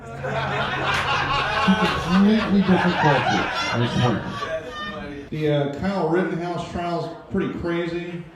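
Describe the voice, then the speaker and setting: A man of forty from Japan delivers crude, self-deprecating stand-up at a slow pace with big swings in pitch. His voice rings around an echoey club, picked up a little distantly with the audience present. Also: lively intonation, background noise. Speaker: stand-up comedian. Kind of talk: stand-up comedy routine. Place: comedy venue on stage. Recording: echoey live club recording with crowd.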